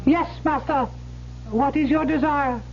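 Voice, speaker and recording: A shrill, slow bottle imp. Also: male voice, steady tone, gravelly, exaggerated voice. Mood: eerie servile. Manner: slow, high, unearthly servility. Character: male bottle imp, devil's servant, bound and bitter. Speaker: actor in radio drama. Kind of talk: 1970s radio drama acting. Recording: muffled old radio recording with hiss.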